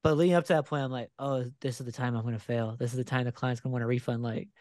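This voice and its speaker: A man in his thirties hosting a podcast interview, talking in a relaxed, candid way into a close desk microphone. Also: medium pitch, rapid-fire, no room sound. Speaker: podcast host, photographer. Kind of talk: casual interview. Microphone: headphones and a desk microphone close up.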